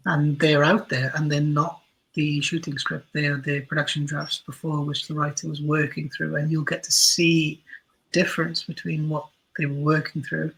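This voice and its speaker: A man's voice: fairly high pitch, vocal fry, fairly bright tone, husky, low-quality recording. A man speaking as a podcast guest, explaining things informally and helpfully in stop-start phrases. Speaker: podcast guest, audio drama writer. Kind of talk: casual explanatory interview talk.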